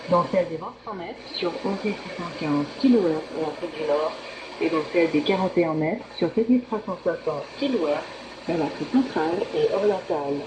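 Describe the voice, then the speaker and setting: A woman radio presenter reading a scripted news bulletin in a formal, measured manner, heard over shortwave radio. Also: lowish voice. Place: broadcast heard through a shortwave receiver. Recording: noisy, echoing shortwave radio reception.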